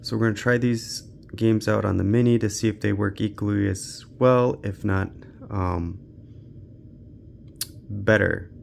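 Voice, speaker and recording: A man's voice: fairly low pitch, fairly even intonation, measured pace, gravelly, slight background noise. A man giving casual, unscripted gaming commentary as a channel host, thinking aloud in a laid-back way.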